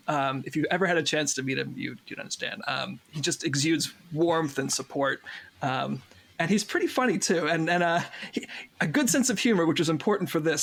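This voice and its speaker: A man with a lively, swooping delivery and a slightly high voice tells casual stories as an interviewed cast member. His manner is jokey, with a gravelly fry in the voice. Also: slight background noise. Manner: jokey, animated.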